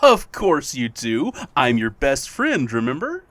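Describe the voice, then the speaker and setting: An actor in an audio drama playing a playful, needling supernatural being who poses as a best friend, with theatrical, drawn-out teasing. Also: mid-range voice, wildly swooping pitch, a bit bright, no echo.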